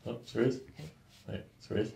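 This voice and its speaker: A soft-spoken man working as a chiropractor, calmly coaching someone step by step in a hushed ASMR style. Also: slow pace. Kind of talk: hushed ASMR treatment instructions. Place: small carpeted treatment office, indoors. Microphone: boom-mounted microphone close to the treatment table.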